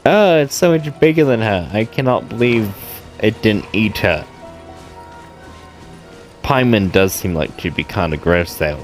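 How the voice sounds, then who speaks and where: Male gamer doing joking, casual reactions: slow pace, teasing asides, faint game music behind. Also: on the low side, animated. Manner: mutters playful teasing asides. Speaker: gamer reacting to a story quest. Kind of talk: casual, joking gameplay commentary.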